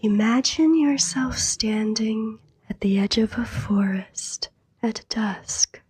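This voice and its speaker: A woman softly narrating a scripted sleep meditation in a hushed, breathy whisper, soothing and unhurried, with long pauses. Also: on the high side, no room sound.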